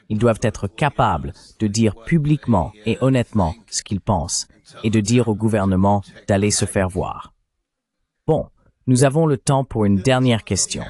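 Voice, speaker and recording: A man's voice: lowish voice, a little mellow. A man moderating a panel discussion, heard as a translated voice-over.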